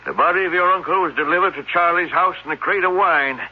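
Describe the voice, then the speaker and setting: A man's voice: sits high, fast talker, husky. An actor playing a grave, earnest townsman with a questioning, dramatic manner in an old-time radio drama. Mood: solemn. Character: grave townsman startled by a murder confession. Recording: dull, noisy old radio recording with hum.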